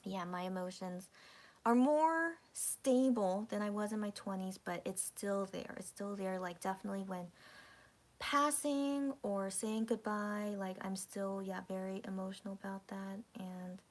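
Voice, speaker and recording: A woman's voice: mid-range voice, a little slow. Woman, 30s, livestream host; casual rambling talk with restarts and whispered asides. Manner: rambling, many restarts and fillers, drops to whispers. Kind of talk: casual chat with viewers.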